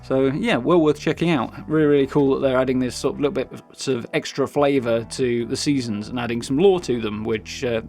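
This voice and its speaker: A man's voice: medium pitch, fairly quick, few pauses, darkish tone. A man with a British accent hosting a gaming news video in a chatty, upbeat, informal way, part commentary and part reading aloud. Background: background music.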